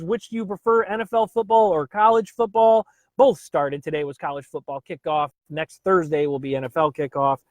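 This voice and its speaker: A chatty man hosting a live stream from home, his voice a touch higher than most men's. He keeps things upbeat, greets viewers by name and drops easy uhs between thoughts. The sound is close and dry. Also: no echo. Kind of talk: casual live stream chat.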